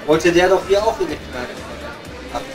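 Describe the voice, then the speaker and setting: A man's voice: on the high side, lively intonation, moderate pace, close to an everyday voice. A man playing a chatty, teasing coal miner with a Ruhr accent, bantering loosely in an improvised comedy audio play. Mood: relaxed chat. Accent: Ruhr area German. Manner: colloquial, rambling banter. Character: chatty, teasing male coal miner at his local pub. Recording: prominent music behind the voice.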